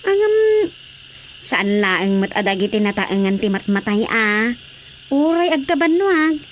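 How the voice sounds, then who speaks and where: A woman's voice: medium pitch, sing-song, unhurried, slight background noise. A woman playing a curious, chatty character with an Ilocano accent in a radio drama comedy. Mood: curious, reacting.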